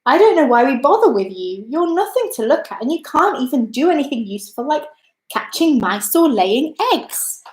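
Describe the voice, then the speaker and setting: Woman voicing a scornful cat with lively, swooping pitch. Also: moderate pace, roomy sound. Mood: jeering. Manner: sneering, scolding. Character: scornful, jeering farmhouse cat.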